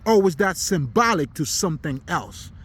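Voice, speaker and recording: A man's voice: on the high side, very animated, moderate pace, a bit bright, husky. A man from Haiti, not a native English speaker, giving an unscripted, preaching monologue in blunt, profane slang with emphatic repetition. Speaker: spiritual commentator.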